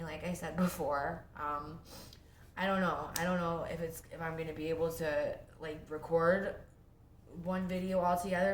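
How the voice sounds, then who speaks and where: A young woman with a sniffly cold, telling her own story in a rambling casual way at home, full of fillers and self-interruptions. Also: deep voice. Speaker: vlogger sharing her recovery story. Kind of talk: casual first-person storytelling. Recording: echoey room with faint noise.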